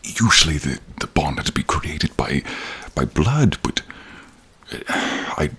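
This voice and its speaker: A man voicing a protective, caring male dragon with a deep, gravelly, breathy voice, speaking slowly, solemnly and formally in a fantasy audio roleplay. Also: very animated, bright, forceful tone, full character voice. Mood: animated, wondering. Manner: slow, solemn, formal, dramatic pauses. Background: rain and cave ambience.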